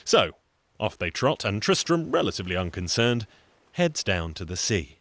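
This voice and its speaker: Low-voiced, animated male storyteller, wry. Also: normal speed, dry recording. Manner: wry, sardonic, conversational asides. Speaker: storyteller, solo show performer. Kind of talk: comic theatrical folk-tale storytelling.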